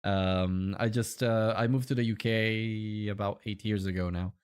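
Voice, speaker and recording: A man with an Italian accent, a native Italian speaker, chatting casually and in a friendly, halting way as a game streamer. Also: on the low side, fairly even intonation, clear, resonant tone. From Italy. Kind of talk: casual livestream chat.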